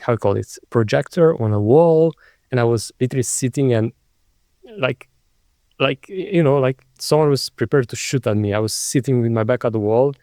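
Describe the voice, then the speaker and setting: A man's voice: mid-range voice, moderate pace, mellow, dry recording, clean studio sound. A man from Romania with a Romanian accent, a non-native English speaker, hosting a podcast interview in a conversational way and sometimes searching for words. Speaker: podcast host.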